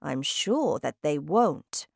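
Woman as a calm, slow, sly old grandmother. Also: low-pitched, vocal fry, dry recording, studio quality, heavily acted. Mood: calm, reassuring. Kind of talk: children's storybook character voice.

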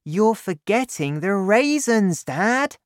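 An excitable young boy with a high, lively voice, full of eager questions, as a man reads him aloud. The delivery is unhurried and the sound is dry and close. It comes across as a storybook character voice. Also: no echo, exaggerated voice. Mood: excited. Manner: raised pitch, excited questions. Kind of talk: audiobook character voice.

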